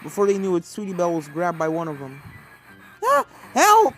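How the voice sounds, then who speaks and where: A woman's voice: lowish voice, fast, a little mellow. A woman reading a story aloud as scripted narration, with music playing behind her. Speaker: fan fiction reader.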